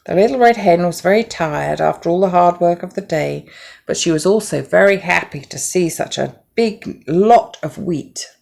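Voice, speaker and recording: A woman reading a children's story as narrator, with an expressive, deliberate storybook delivery. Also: lowish voice, creaky.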